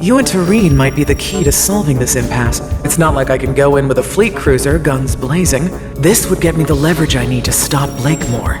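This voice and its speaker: A woman playing a shrewd, authoritative space fleet commissioner in a video game, with a measured, official delivery. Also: extremely low pitch, lively intonation. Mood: persuasive. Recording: music under the voice.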